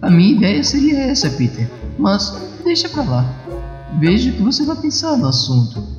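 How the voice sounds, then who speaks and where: Man voicing an echoey, high-pitched kindly old aunt. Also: huge pitch swings, normal speed. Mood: gently persuasive. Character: kindly elderly aunt, gently teasing and caring. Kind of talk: dubbed comic-book dialogue. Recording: echoey, muffled, music behind.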